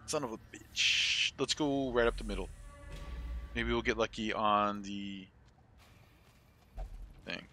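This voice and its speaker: A man's voice: on the low side, slow, long pauses, sharp tone, a little hiss or hum. A man in his forties chatting casually and rambling with some salty swearing as he streams a game. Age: 40s. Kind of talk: casual live-stream chat with gameplay commentary.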